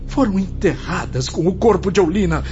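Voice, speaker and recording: A tormented male spirit wracked with guilt in a radio drama. His solemn, declamatory delivery swings widely in pitch. The sound is dull, with clear music underneath. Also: lively intonation, normal speed, dark tone. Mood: anguished dread. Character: anguished repentant male spirit, tormented murderer.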